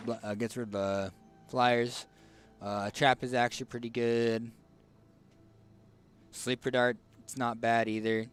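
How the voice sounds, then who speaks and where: A man with a raspy, hoarse voice casually commenting on a card game as he picks cards, thinking aloud with fillers and restarts. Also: medium pitch. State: hoarse, tickly throat. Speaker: streamer.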